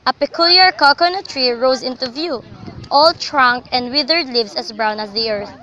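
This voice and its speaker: A woman's voice: sits high, moderate pace, sharp tone, lo-fi sound. A young woman reading scripted, dramatic story narration for a student radio drama. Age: young adult. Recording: faintly noisy amateur recording.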